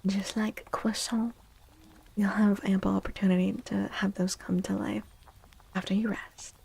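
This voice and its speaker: Female ASMR streamer: slow, gentle, breathy half-whisper with vocal fry, soothing and affectionate. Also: mid-range voice, level tone, slight background noise. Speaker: livestream host doing tarot readings. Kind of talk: soft-spoken ASMR livestream chat.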